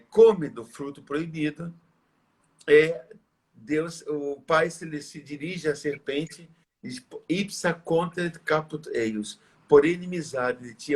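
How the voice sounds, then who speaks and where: A tired, slightly hoarse male priest, leading prayers and preaching in a reverent, measured way. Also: a little high. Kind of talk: live religious talk and prayer.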